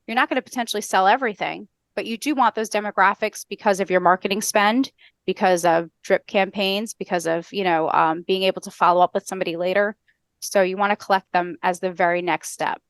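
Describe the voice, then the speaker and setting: A middle-aged American woman on a headset, explaining step by step as a podcast guest in a brisk, structured, instructional way. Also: mid-range voice, steady tone, clear, resonant tone, dry recording. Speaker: podcast guest, customer service trainer. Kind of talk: explanatory interview talk with acted-out call examples. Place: indoor room on a video call.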